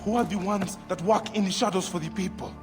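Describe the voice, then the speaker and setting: A man's voice: high-pitched, brisk, lightly acted. An actor playing a grieving Egyptian warrior father who leads a brotherhood in a video game, earnest and intense. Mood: solemn, rousing. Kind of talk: dramatic video game acting. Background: background music.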